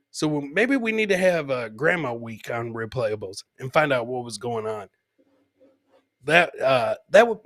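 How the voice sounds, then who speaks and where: A man's voice: medium pitch, fairly bright tone. A man hosting a live comedy show, casually commenting on funny clips and often repeating his phrases for comic emphasis.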